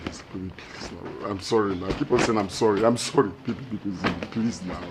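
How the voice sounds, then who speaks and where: A man's voice: on the low side, steady tone, a little fast, noisy background, lightly acted. A man playing a husband who keeps begging his wife and mother to stop. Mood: pleading. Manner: begging, repetitive. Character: pleading husband caught between mother and wife.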